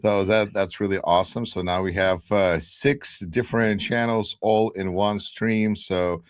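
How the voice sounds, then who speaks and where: A man hosting a livestream panel, introducing guests and moderating with brisk, friendly remarks over a video call. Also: lowish voice, steady tone, no room sound, clean studio sound. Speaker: livestream host.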